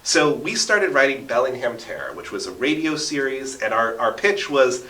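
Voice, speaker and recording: Bright-voiced man telling stories, roomy sound. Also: mid-range voice, big pitch swings, moderate pace, far from the mic. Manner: emphatic, often checks in with "right?". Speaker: interview guest, radio drama producer and local history enthusiast. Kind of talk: animated conversational storytelling. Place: radio studio. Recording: roomy, echoing, away from the mic.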